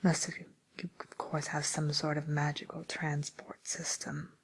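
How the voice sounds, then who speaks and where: Breathy, whispering matchmaker woman acted out. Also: deep voice, level tone. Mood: tactful, gently amused. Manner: hesitant, gently diplomatic, trailing pauses. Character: polite, tactful matchmaker woman at a villains' dating service. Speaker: ASMR role-play performer. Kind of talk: soft whispered ASMR role play.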